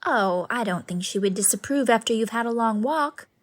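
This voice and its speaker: A woman's voice: fairly high pitch, expressive, normal speed, close to an everyday voice. Actress as a whimsical, effusive country lady. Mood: playfully confiding. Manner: effusive, playful, theatrical phrasing. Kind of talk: scripted audiobook drama acting.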